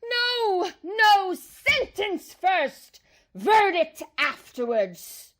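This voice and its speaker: A tyrannical Queen in a radio play, eager for beheadings. The voice is high and swooping, with drawn-out, commanding words over faint music. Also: sing-song, fairly bright tone, exaggerated voice. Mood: furious, commanding. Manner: imperious, commanding. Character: bloodthirsty, imperious Queen demanding beheadings.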